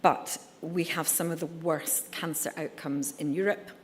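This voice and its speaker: A woman's voice: deep voice, fairly even intonation, measured pace, a little hiss or hum. A middle-aged Scottish woman, a surgeon giving a candid conference talk with frequent ums and uhs. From Scotland. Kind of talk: conference presentation. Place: conference hall. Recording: reverberant hall.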